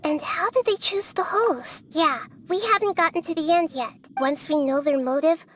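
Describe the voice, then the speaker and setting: A woman voicing a gentle, wise young goddess who reasons calmly and softly. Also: very high-pitched, normal speed, slight background noise. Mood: pondering. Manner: calm, reasoning, soft-spoken.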